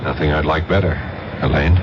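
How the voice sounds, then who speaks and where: A muffled, faintly noisy vintage radio drama recording. an American federal agent with a very low voice, plain-spoken, easygoing and quietly authoritative. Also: male voice, steady tone, a little fast. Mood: affable. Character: affable but firm American male federal narcotics agent. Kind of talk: 1970s radio drama acting. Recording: dull, noisy 1970s radio broadcast recording.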